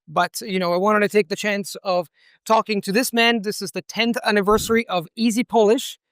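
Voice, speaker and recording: A man's voice: sits high, fairly even intonation, a little fast, a bit bright, clear, resonant tone, dry recording, clean studio sound. A man hosting an interview at an event, earnest and searching for words, with frequent restarts. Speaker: interviewer, language channel host. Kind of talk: conversational interview. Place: hall at a language event with background noise.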